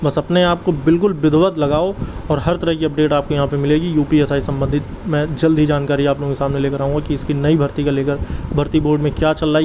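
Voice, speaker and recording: Man, slightly high, noisy lo-fi recording. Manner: earnest, exhortative, addresses listeners like a brother. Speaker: exam-update video creator and advisor. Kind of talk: informal exam news commentary and advice. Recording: dull lo-fi audio, clear outdoor traffic-like noise.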